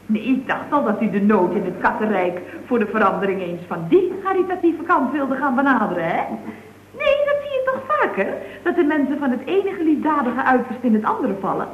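A woman's voice: a little high, sing-song, brisk. A woman playing a chatty, cheeky office secretary in a radio comedy around 1970, gossipy and full of tag questions. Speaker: actress in a radio comedy serial. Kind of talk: 1970s Dutch radio comedy acting. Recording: dull, echoey, noisy vintage radio recording.

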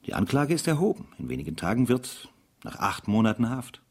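A relentless male military interrogator in a radio drama. His medium-pitched voice swings widely in intonation for emphasis. He is formal, stern and accusing, with a sardonic edge. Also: big pitch swings, brisk. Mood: cold, official. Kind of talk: German radio play acting.

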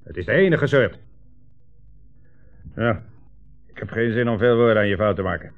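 A man's voice: on the low side, expressive, normal speed, phone-quality sound. A thin, band-limited vintage radio recording with faint music carries a menacing communist commissar. He is imperious and sneering, a bullying interrogator full of cold contempt. The delivery is that of 1960s radio drama.

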